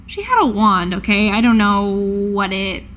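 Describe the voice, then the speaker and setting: A woman talking as a player in a tabletop game, hesitant and deliberate, partly in character. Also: mid-range voice, very animated, fairly bright tone, slight background noise. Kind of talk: in-character casual game discussion.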